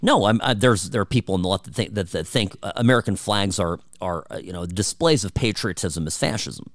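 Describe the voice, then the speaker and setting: A man's voice: sits low, expressive, fairly quick, dry recording. A man hosting a talk radio show, delivering an opinionated, emphatic and conversational monologue. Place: radio studio.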